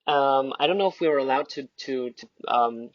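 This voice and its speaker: A man's voice: mid-range voice, moderate pace, noisy background. A man chatting casually on a podcast with a dry, tongue-in-cheek sense of humour. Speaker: podcast participant. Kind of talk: casual chat. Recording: slightly dull podcast recording.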